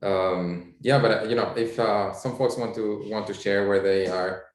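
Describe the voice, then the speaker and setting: A man's voice: fairly low pitch, steady tone, normal speed. A man from Spain with a Spanish accent, introducing himself on a video call panel, hesitant and full of fillers. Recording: echoey room.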